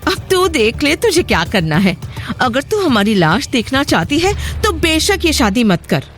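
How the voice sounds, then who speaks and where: A woman's voice: sits high, sing-song, measured pace, a little hiss or hum. A woman playing a harsh, accusing middle-aged aunt in an audio drama, scolding and commanding. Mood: angry, threatening. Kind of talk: Hindi audio drama acting. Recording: music bed under the voice.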